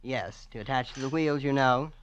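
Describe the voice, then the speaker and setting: An actor playing a smug young heir who boasts of his family's wealth in a calm, matter-of-fact way, in 1940s radio acting. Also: mid-range voice, moderate pace, a little hiss or hum, natural voice. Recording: dull old radio recording.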